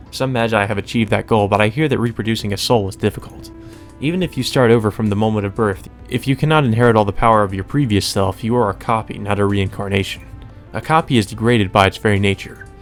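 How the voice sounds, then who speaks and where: A man reading visual novel narration and dialogue aloud as a let's player. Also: on the low side.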